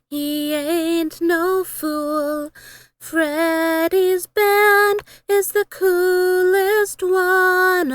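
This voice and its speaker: A woman singing a simple, lullaby-like song. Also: high-pitched.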